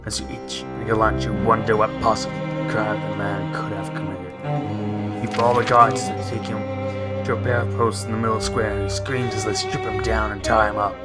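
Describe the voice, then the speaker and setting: Low-voiced man doing game commentary over music. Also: little pitch movement, a little fast. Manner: jokey, rambling asides. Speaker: let's play host. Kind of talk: let's play commentary, reading game text aloud.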